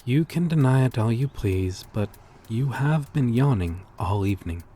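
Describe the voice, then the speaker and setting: A man playing a courteous, lonely vampire in an ASMR roleplay, speaking softly and formally with hesitant pauses. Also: medium pitch, lively intonation, husky. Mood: courteous, fond. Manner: soft-spoken, formal, polite, hesitant pauses. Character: gentle, courteous old-souled male vampire, lonely former fisherman. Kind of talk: ASMR roleplay audio drama.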